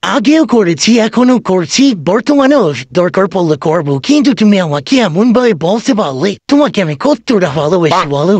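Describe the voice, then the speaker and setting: A woman's voice: mid-range voice, big pitch swings, normal speed, hardly any pauses, darkish tone, slightly rough, no room sound. Swooping, whiny old cartoon husband played by a woman. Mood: hurt, indignant. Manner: indignant, pleading, melodramatic. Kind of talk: comic cartoon dubbing. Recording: noisy amateur cartoon dub with clicks.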